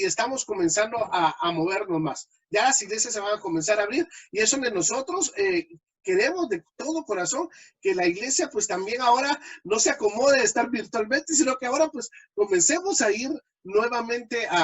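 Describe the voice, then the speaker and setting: High husky-voiced man hosting an interview. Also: fairly bright tone. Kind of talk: conversational interview.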